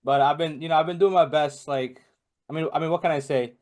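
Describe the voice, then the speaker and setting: A man's voice: a little high, steady tone, fast talker, a little mellow. Rambling young man, lots of fillers. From Bangladesh. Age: young adult. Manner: rambling, many fillers and restarts. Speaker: podcast guest. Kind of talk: casual chat.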